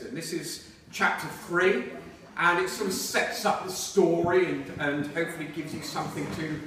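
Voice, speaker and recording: A man reading aloud from a novel to listeners in a measured storytelling way. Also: on the high side, pushed and bright, a little hiss or hum. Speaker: reader at a book reading. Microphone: distant microphone. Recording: very echoey hall, far from microphone.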